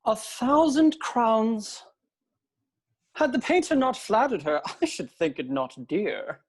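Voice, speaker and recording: Hot-blooded noble suitor played by a woman, period audio drama. Slow, grand delivery with big swoops in pitch. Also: expressive, slightly rough, full character voice. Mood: smitten. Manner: grand, declamatory, archaic speech. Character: ardent, hot-tempered young Spanish nobleman in love.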